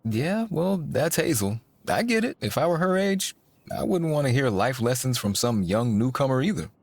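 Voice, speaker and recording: A man's voice: medium pitch, big pitch swings, normal speed, a little mellow. A man playing an easygoing, understanding guy in a life-sim video game. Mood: candid, understanding. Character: easygoing, understanding man, churchgoing family upbringing.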